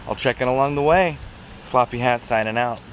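A man's voice: mid-range voice, brisk, fairly bright tone, a little hiss or hum. A man in his thirties talking casually to a handheld camera outdoors as a travel vlog host. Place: outdoors at beach, street and trail. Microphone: handheld camera at arm's length. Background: music.

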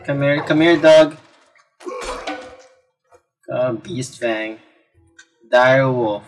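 A man plays a video game and talks himself through it at an unhurried pace. His commentary is casual and reactive, full of exclamations, with game music faintly behind him. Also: mid-range voice. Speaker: let's play gamer.

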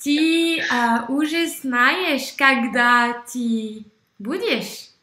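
A young woman with a French accent, speaking slowly and haltingly on a video call, searching for words with many fillers. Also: high voice, pushed and bright, reverberant. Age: young adult. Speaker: language-learning video host. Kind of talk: halting conversation in a learned language. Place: small room indoors. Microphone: red headphones, webcam video call.

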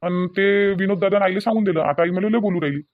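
A man plays a naive young cartoon son who keeps explaining himself with a whiny defensiveness. His voice is rather high and very fast, with a Varhadi Marathi accent. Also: phone-quality sound, natural voice. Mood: defensive.

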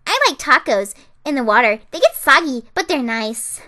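A woman's voice: high-pitched, animated, normal speed, bright, forceful tone. A woman voicing a ditzy, bubbly, naive teenage siren girl from a cartoon in a chirpy, airheaded way. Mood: perky, naive. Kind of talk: cartoon character voice reel.

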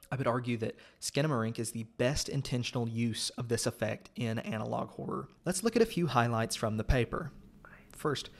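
A man narrates a scripted video essay with noticeable vocal fry. His delivery is measured and explanatory, laying out each point clearly at an ordinary male pitch.

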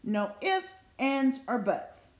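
High, lively woman reading to children. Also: slow. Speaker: storybook reader. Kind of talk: expressive children's read-aloud of rhyming story. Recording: roomy, echoing indoor sound.

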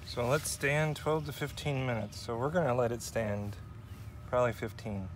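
A man's voice: mid-range voice, moderate pace. A man in his forties cooking outdoors in autumn woods, chatting casually and thinking aloud with fillers and self-deprecating asides. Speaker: outdoor vlogger. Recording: outdoor recording with clear background noise.

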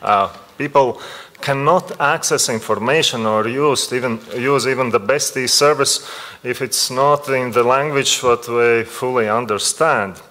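A man's voice: mid-range voice, a little slow, bright, forceful tone, long reverb tail. A middle-aged man with a Latvian accent, seated at a conference table and giving prepared panel remarks into a table microphone, with frequent hesitations. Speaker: panel speaker. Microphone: table gooseneck mic.

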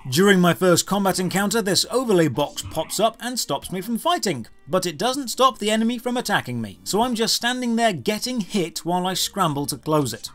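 A man's voice: sits high, very animated, brisk, hardly any pauses, a bit bright. A man narrating a scripted comedic video game review with sarcastic, exasperated emphasis. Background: background music.

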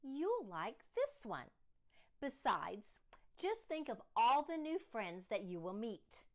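A woman's voice: fairly high pitch, very animated, slow, heavily acted. A woman voicing a patient father who coaxes his daughter out of bed in a picture book. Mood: coaxing. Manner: coaxing, patient, then firm. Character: gently firm father, middle-aged man.

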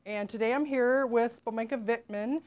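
A middle-aged woman hosting a casual interview as a nutritionist, upbeat and quick to affirm her guest with short okays, recorded in a small indoor studio. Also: fairly high pitch, darkish tone, highs cut off. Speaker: nutritionist host.

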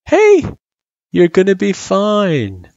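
A man's voice: sits high, wildly swooping pitch, slow pace, vocal fry, darkish tone, no echo, muffled recording, voice pushed up, exaggerated voice. A man voicing a laid-back, teasing, reassuring buddy with a casual, joking delivery in a story reading. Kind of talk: character voice in story narration.

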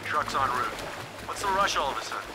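An actor playing a brisk, hostile male mercenary soldier in a video game, with clipped military delivery. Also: mid-range voice, expressive, heavy background noise, lightly acted. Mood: businesslike.

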